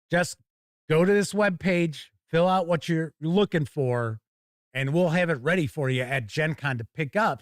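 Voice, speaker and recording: A man's voice: mid-range voice, dry recording, studio quality. A man hosting a tabletop gaming show in a casual live-stream style, candidly giving opinions and rambling through anecdotes.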